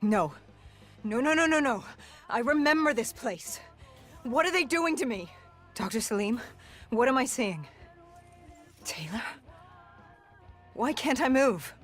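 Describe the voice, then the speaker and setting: An actor playing an augmented soldier in a video game, haunted and resolute, recounting past missions in grave, measured storytelling. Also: mid-range voice, animated, fairly bright tone. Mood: panicked, disoriented. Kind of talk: dramatic game cutscene narration. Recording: music under the voice. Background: music.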